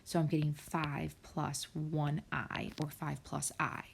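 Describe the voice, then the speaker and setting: Low, gravelly woman teaching math. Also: little pitch movement, slow, slight background noise. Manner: explains step by step, often drops to a whisper. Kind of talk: recorded screen lesson for students.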